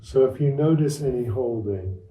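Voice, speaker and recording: A man leading a guided meditation as a teacher, speaking gently and without hurry in short phrases with long pauses. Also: medium pitch. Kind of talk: guided meditation, unscripted and instructional. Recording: roomy echo, distant microphone.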